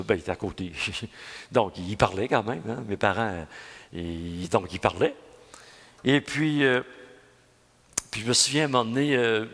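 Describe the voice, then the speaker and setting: An older man with a Quebec French accent delivers a homily in a rambling, homespun storytelling style. His voice sits at a middle pitch and rings out with the long reverb of a church. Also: fairly bright tone. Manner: folksy storytelling, many restarts and "hein?". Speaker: priest. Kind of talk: homily at mass.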